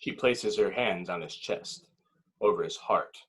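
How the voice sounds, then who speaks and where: A man's voice: sits low, unhurried, husky, poor audio. A man reading stage directions as narrator at a table read, in dramatic, clipped phrases.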